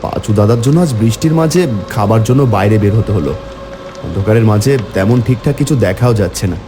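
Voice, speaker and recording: A man's voice: lowish voice, lively intonation, brisk, music in the background. A man narrating a cartoon horror story in the first person, theatrical and exclamatory when voicing the dialogue.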